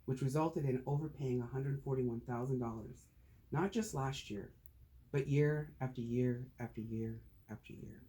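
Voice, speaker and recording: A man's voice: mid-range voice, moderate pace, dark tone. A man talking casually to a small group, then presenting on taxes with jokes and rhetorical questions. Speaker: tax advisor giving a talk.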